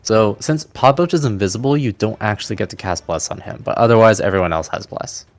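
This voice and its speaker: A man's voice: on the low side, brisk. A man narrating a tabletop fantasy game as its game master. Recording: music playing behind. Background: background music.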